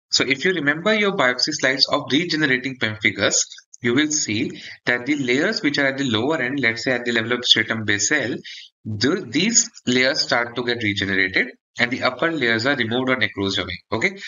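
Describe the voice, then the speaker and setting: Bright, forceful man lecturing. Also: mid-range voice, dull audio. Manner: repeats and checks with "okay?". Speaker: dermatology lecturer. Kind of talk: explanatory exam-oriented lecture.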